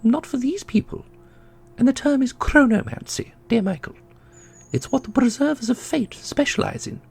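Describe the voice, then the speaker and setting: A man voicing a solemn, knowing keeper of an ancient magical order in a fantasy audio roleplay, speaking in a formal, measured way. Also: very high voice, vocal fry, darkish tone, husky, exaggerated voice. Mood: dry, brisk. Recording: music under the voice.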